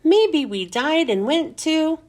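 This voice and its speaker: Thoughtful schoolboy, played by a woman, uncertain, high-pitched, lively intonation, children's storybook. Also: slow pace. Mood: musing.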